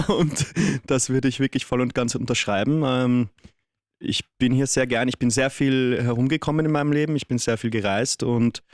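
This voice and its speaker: A man's voice: mid-range voice, moderate pace, no room sound. Guy on the radio, laid back and jokey, lots of ähms, dry studio sound. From Vienna, Austria. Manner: relaxed, joking, hesitant fillers. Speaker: actor, studio guest. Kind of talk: casual radio interview.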